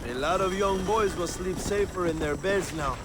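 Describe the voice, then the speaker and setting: A man's voice: high-pitched, moderate pace, background noise, natural voice. An actor playing a vain, womanizing Mexican rebel leader in a video game cutscene, theatrical and grandiose, with a Mexican Spanish accent. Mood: rousing, grandiose. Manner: pompous, theatrical, effusive, peppering English with Spanish.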